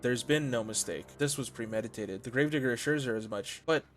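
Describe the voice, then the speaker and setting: A man's voice: medium pitch, a little fast. A man narrating a scripted story recap as a video essayist, articulate, with dramatic storytelling phrasing. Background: soft music.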